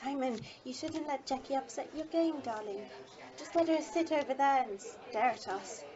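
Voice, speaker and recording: A woman acts out a wealthy young married lady in an audio drama. Her voice is high, with a proper, imperious manner and an anxious edge. The sound is slightly dull and muffled. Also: normal speed, a crowd in the background, natural voice. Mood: polite. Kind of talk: amateur audio play acting.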